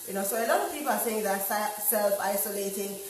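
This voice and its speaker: A middle-aged woman with a hoarse, slightly nasal voice, chatting loudly with many fillers while cooking at home. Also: mid-range voice, a little fast, distant mic, a little hiss or hum. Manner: loud, close to shouting, many fillers. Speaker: home cook vlogger. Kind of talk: casual cooking vlog chat. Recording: very echoey kitchen, voice off-mic.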